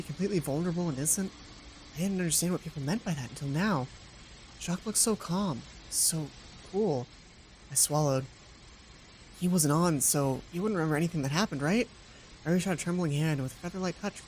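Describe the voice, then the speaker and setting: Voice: medium pitch, fast, darkish tone, a little hiss or hum, natural voice, rough recording. A let's player voicing a lovestruck, nervous young woman who narrates a visual novel with hesitant, dramatic delivery. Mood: tender, smitten. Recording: music behind the voice.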